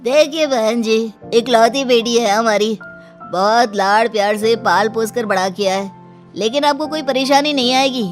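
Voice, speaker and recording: A woman's voice: a little high, moderate pace, close to an everyday voice. A woman voicing a doting, polite middle-aged parent of a bride in a cartoon family drama. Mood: reassuring. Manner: polite, reassuring. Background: prominent background music.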